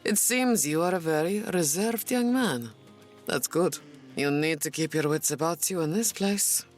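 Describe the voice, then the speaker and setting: An actress playing a sassy, warm-hearted innkeeper's wife with a teasing, theatrical manner in an audio drama. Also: female voice, sits low, expressive, moderate pace. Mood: kindly, knowing. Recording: faint music behind.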